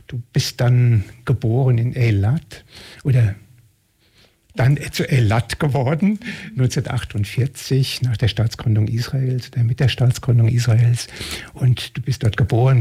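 Male radio host, measured questions, mellow voice, strongly raspy. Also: mid-range voice. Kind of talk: radio interview.

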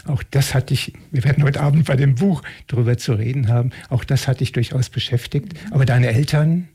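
Dark, husky-voiced male radio host. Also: medium pitch, a little fast. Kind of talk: radio interview.

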